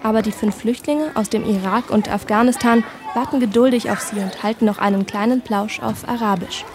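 A woman narrates a radio documentary feature. She reads calmly and descriptively. Her voice sits slightly high. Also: a little fast. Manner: calm, descriptive reading.

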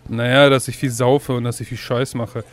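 A man's voice: mid-range voice, level tone, moderate pace. A man answering interview questions in a blunt, matter-of-fact way.

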